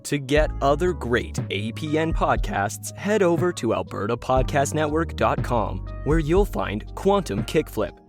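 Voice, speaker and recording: A man's voice: on the high side, very animated, unhurried, few pauses. A man reading scripted sponsor messages and credits as a podcast announcer. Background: music.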